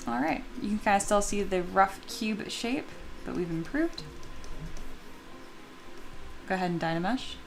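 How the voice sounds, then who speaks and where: Woman, mid-pitched, slightly scratchy sleepy voice, informal livestream tutorial, thinking aloud, clear background music. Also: animated, a little slow, long pauses. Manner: informal, chatty, talks to herself and viewers. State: sleepy, voice not yet warmed up. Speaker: 3D sculpting teacher and livestream host.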